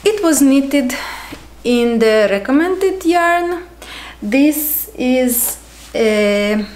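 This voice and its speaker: Slow-talking woman with a Ukrainian accent. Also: fairly high pitch, a little mellow. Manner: slow, unhurried, with frequent ums and pauses. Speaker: podcast host. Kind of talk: casual knitting podcast chat. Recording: echoey room.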